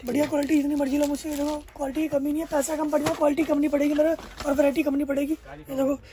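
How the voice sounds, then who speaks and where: A young man working as a wholesale shopkeeper, rattling off prices in an emphatic sales pitch and repeating his terms, in a crowded indoor market shop. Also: level tone, very fast, mellow, a little hiss or hum.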